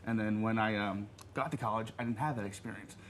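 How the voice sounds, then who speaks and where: A man's voice: fairly low pitch, fast, a bit bright, a little hiss or hum. A man in his thirties giving earnest answers as a TV interview guest. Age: 30s. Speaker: reality show guest.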